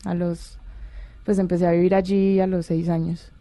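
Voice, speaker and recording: A woman's voice: fairly low pitch, flat delivery, moderate pace, warm tone, no echo. A woman in her twenties with a Colombian accent, answering interview questions in an informal, hesitant way as a musician guest. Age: 20s. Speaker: orchestral violinist, interview guest. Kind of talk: casual, informal interview talk.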